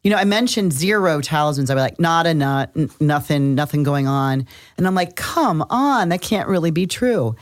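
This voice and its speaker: Very low-voiced woman, casual podcast host. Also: expressive, moderate pace, no room sound, studio quality. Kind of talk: casual conversational astrology talk.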